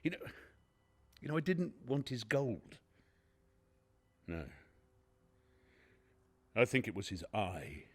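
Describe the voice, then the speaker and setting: Creepy nervous madman narrator, gothic radio play, slow n halting, voice all over the place, mid pitch. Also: big pitch swings, breathy edge, heavily acted. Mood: brooding. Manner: halting, stammering, theatrical, swinging from whisper to outburst. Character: nervous, unhinged madman confessing a murder obsession. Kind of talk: gothic radio drama monologue.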